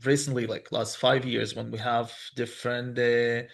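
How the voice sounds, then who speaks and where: Gravelly-voiced man, hesitant phrasing. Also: mid-range voice, flat delivery. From Crimea, Ukraine. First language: Russian. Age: 30s. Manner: halting, repeats words while searching for English phrasing. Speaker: rabbi, podcast guest. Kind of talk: casual interview conversation.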